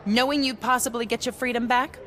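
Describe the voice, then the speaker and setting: A persistent TV reporter, voiced by a woman with a slightly raised pitch, pressing her questions in a formal broadcast manner. Her delivery stays composed and insistent. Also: steady tone, a little fast, fairly bright tone, close to an everyday voice. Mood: probing. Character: pressing female TV news reporter.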